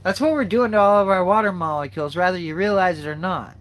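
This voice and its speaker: A man with a stuffed-up nose from a cold, hosting a spiritual livestream, speaking slowly and haltingly with many fillers, then reading a passage aloud. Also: sits high, fairly bright tone. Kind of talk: slow reflective spiritual talk and reading aloud.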